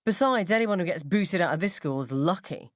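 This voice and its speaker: A woman's voice: fairly low pitch, expressive, a little fast, no echo, studio quality, close to an everyday voice. A woman voicing a sly, unworried school mum with a knowing, teasing way of talking in a radio story. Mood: sly, unbothered.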